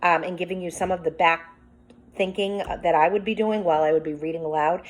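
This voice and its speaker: A woman's voice: fairly low pitch, steady tone, quick pace, rough recording. A woman speaking as a teacher, reading a picture book aloud with commentary and prompting young listeners with questions as if to a class. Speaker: teacher demonstrating a children's read-aloud.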